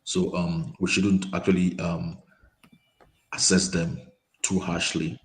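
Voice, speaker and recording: A man giving his views in a casual football chat over a call. He hesitates often, repeating words and filling pauses with um. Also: low voice, level tone, slight background noise. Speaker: panel guest. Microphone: remote call mic, quiet.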